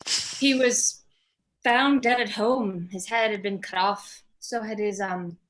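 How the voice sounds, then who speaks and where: A woman's voice: medium pitch, takes it slowly, fairly bright tone, poor audio. A woman playing a cool, unsentimental widow with a dry, morbid manner in a script table read.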